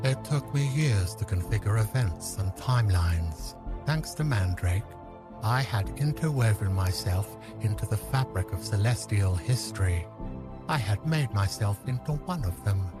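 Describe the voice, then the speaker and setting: A man's voice: on the low side, lively intonation, measured pace, loud music behind the voice. A man playing a lonely, regretful old man who bends time, speaking solemnly in an audio drama. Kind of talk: scripted audio drama acting.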